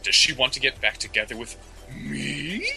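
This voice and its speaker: A man co-hosting a casual comedic advice podcast, talking in halting, slangy phrases and often repeating his words. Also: medium pitch, expressive, gravelly, pushed and bright, soft background music, low-quality recording. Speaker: podcast co-host.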